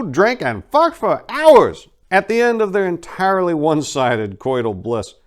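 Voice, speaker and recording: A man narrating a crime short story as a dramatic reading, with theatrical, emphatic delivery. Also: mid-range voice.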